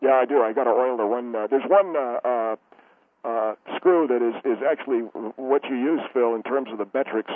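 A man's voice: medium pitch, dry recording, narrow, tinny audio, close to an everyday voice. A man doing a rambling gadget salesman. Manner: halting, full of ums and uhs.

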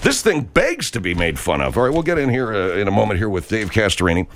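A man's voice: fairly low pitch, sing-song, fast. A man hosting a morning radio show, mocking and crude with jokes. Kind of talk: irreverent morning radio banter.

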